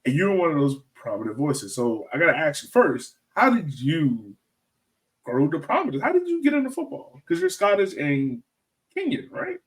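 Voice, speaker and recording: A man's voice: a little high, very animated, moderate pace, poor audio. A man hosting a podcast interview in a casual, enthusiastic, slangy way, talking like he is chatting with a friend. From Jamaica. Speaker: podcast host interviewing a guest.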